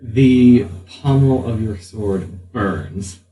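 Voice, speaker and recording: A man's voice: fairly low pitch, lively intonation, extremely slow, mellow. A man improvising narration as game master of a tabletop role-playing game. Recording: roomy, distant mic, faint music underneath.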